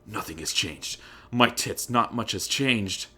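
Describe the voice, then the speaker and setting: A man's voice: on the low side, a little slow, pushed and bright, breathy edge, full character voice. A man voicing a brash, vain young woman with dramatic, emphatic delivery in a comic story. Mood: rattled. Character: brash, vain young woman, self-satisfied and easily rattled.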